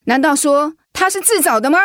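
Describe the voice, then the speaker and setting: A woman's voice: high-pitched, animated, a little fast, no room sound, studio quality. A woman acting a protective middle-aged mother-in-law in a radio drama, outspoken and motherly. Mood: indignant. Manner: indignant, emphatic when provoked, motherly.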